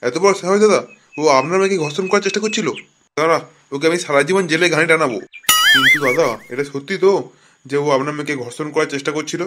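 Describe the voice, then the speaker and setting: Man doing a bullying cartoon cop, high tense voice, loud and real quick. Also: heavy background noise, lightly acted. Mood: outraged. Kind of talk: comic cartoon dialogue.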